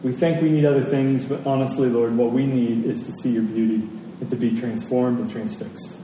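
A man preaching in a conversational sermon style, earnest and rhetorical, pausing deliberately for weight. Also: medium pitch, fairly even intonation, warm tone. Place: large reverberant room, church service. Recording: very echoey large hall, distant, noisy.

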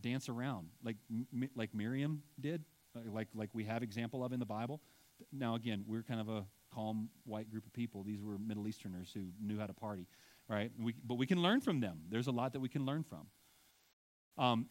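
A middle-aged man preaching a sermon in a church, conversational and self-deprecating, often checking in with "right?" and stumbling through restarts when he digresses. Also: mid-range voice. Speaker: pastor. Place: church sanctuary.

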